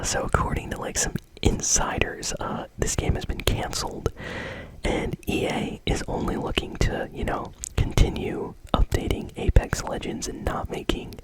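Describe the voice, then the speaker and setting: Whispering ASMR gaming news host. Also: low-quality recording. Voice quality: breathy, unvoiced whisper. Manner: casual, unscripted, hesitant phrasing with trailing asides.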